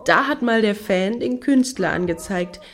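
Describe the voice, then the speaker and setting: A woman's voice: mid-range voice, animated, unhurried, natural voice. An actress playing a wry, mocking woman in a satirical radio play, with a dry delivery. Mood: scornful.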